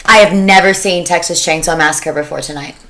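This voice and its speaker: A young woman in a casual podcast chat about movies, speaking in a blunt, animated way and slipping into sarcastic imitations. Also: fairly low pitch, brisk. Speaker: horror podcast panelist. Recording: noticeable background noise.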